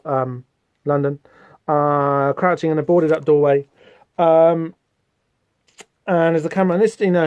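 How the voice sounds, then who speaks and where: A man's voice: a little high, darkish tone, no echo. A man hosting a podcast, musing casually over a comic with frequent ums and restarts. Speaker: podcast host. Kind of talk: casual read-along comic commentary. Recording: dull, slightly muffled podcast audio.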